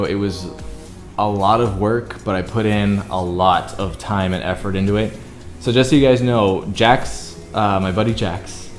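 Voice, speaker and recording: A young man with a very deep baritone voice, chatting earnestly to his viewers in a casual vlog, full of "like" fillers. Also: reverberant. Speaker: YouTuber and singer.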